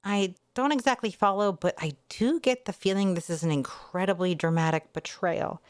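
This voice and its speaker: A woman's voice: medium pitch, normal speed, smooth, no echo, close to an everyday voice. A wry teenage boy from a light novel, read by a woman. He comes across as a bemused, musing onlooker, in a dry and close sound.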